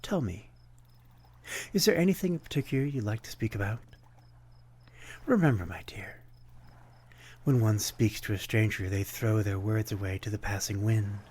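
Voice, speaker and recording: A man playing a courtly, melancholy vampire stranger in an intimate ASMR roleplay, speaking in a formal, old-fashioned, gently wistful way. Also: on the low side, moderate pace, pauses often, breathy edge. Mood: reassuring.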